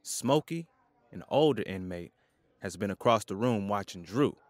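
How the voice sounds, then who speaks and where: A man's voice: lowish voice, lively intonation, moderate pace, no echo. A man reading scripted scene narration for an audio drama as its narrator.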